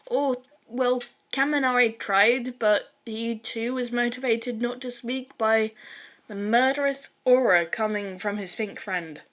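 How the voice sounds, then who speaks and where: A woman's voice: on the high side, unhurried, fairly bright tone. A woman reading fan fiction aloud as a scripted story narration.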